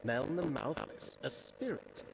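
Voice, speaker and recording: A man voicing an imperious, indignant magister wizard with a creaky voice in a video game. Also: mid-range voice, expressive, unhurried. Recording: noisy game ambience.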